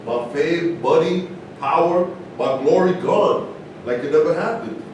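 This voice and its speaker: A man's voice: a little high, measured pace, darkish tone, muffled recording, natural voice. A man as a proud fallen champion, very echoey. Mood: bitter, rueful. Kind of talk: staged play reading. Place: large indoor room at a live staged reading, distant mic. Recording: very echoey, noisy room from far off.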